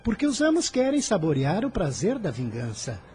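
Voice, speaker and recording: A man's voice: high-pitched, huge pitch swings, normal speed, darkish tone, slight background noise. An actor playing an impassioned, defiant fifteen-year-old boy who defends the poor, declaiming fervently in a radio drama. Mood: indignant, sarcastic.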